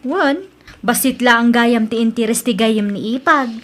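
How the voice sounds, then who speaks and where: A woman playing a grown daughter with an Ilocano accent in an audio drama, speaking softly and earnestly. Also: a little high, a little slow, close to an everyday voice. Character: grown daughter, once scornful now humbled. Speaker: daughter Brenda.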